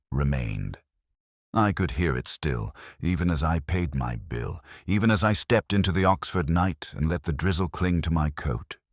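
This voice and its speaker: A man playing a weary, erudite, melancholy police detective who narrates his story in a measured, literary first person. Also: very deep voice, slightly rough, no echo, studio quality, heavily acted. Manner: measured, brooding, wistful.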